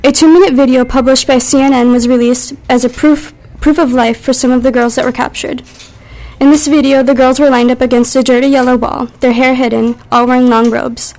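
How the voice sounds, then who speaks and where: A woman reads a scripted radio news update with care and the odd small stumble. Her voice is on the high side and stays very even in pitch. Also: low-quality recording. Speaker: newsreader.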